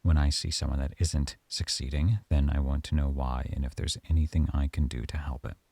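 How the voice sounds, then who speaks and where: A man performing a calm, softly stern professor in an ASMR roleplay monologue, speaking close to the mic. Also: a bass voice, fairly quick, vocal fry, breathy edge, no echo.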